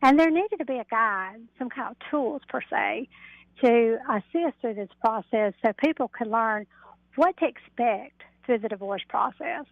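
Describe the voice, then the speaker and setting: A woman's voice: a little high, lively intonation, normal speed, dry recording. Middle-aged woman on a phone line. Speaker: interview guest, mediator and coach. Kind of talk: radio interview, explaining. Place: phoned into a radio show. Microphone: telephone. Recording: narrow telephone line.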